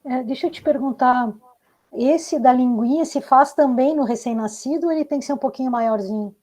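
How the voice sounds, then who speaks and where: A woman's voice: high voice, brisk, a little mellow. A woman asking casual questions as a podcast interviewer.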